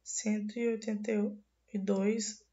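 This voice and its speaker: A young woman, a university student, giving a class presentation while hoarse from a cold, reading from slides and often restarting words. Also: medium pitch, little pitch movement, slow, dark tone, dull audio. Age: young adult.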